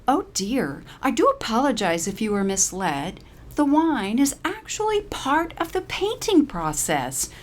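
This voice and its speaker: A woman's voice: fairly high pitch, huge pitch swings, unhurried, pitched above the performer's own voice, heavily acted. A woman voicing a polite, earnest, slightly flustered hippie art teacher in a short story. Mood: polite, apologetic.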